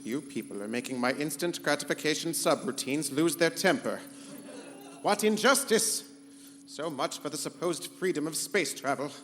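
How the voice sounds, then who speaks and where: A man's voice: a little high, animated, moderate pace, fairly bright tone, a little hiss or hum. A man playing a haughty, theatrical and petulant rogue ship computer in a pulp sci-fi comedy radio play. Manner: grandiose, pompous. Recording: live convention hall stage, reverberant, music behind.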